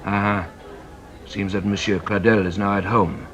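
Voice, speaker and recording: An actor playing a shrewd French police inspector in a radio detective drama, speaking formally, with theatrical courtesy and dry authority. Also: male voice, fairly low pitch, flat delivery, a little slow, fairly bright tone, lo-fi sound, some chatter behind. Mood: wry, knowing. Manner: theatrical, formal, drily polite.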